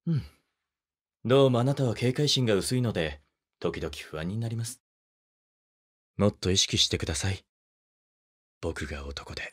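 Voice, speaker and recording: A gentlemanly teasing photographer. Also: male voice, on the low side, husky. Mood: teasing, intimate. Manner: soft-spoken, polite, teasing. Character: gentlemanly young male wedding photographer, polite and teasing. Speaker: voice actor in a situation drama. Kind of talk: audio drama for women, spoken to the listener.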